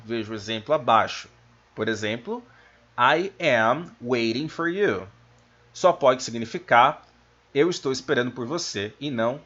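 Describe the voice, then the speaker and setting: A man with a Brazilian accent and a rough-edged, husky voice teaches in an informal, explanatory way. He slows down to pronounce each example carefully. The recording is dull and lo-fi, and his pitch sits in a middle male range. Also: a bit bright. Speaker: English teacher. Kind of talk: informal video lesson. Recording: dull, lo-fi microphone sound.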